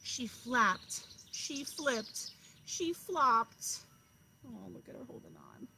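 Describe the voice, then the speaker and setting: A woman's voice: fairly high pitch, expressive, takes it slowly, bright, forceful tone. A woman kindergarten teacher reading a story aloud outdoors and talking encouragingly to children, often asking them questions. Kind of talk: children's read-aloud with asides to young listeners. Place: outdoors in a backyard. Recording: clear outdoor background noise. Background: outdoor noise.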